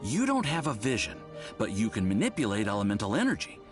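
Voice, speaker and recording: A man's voice: fairly low pitch, wildly swooping pitch, quick pace. A man voicing an eager, polite young research assistant in a video game, earnest and bookish with a deferential manner. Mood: thoughtful, keen. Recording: music behind the voice.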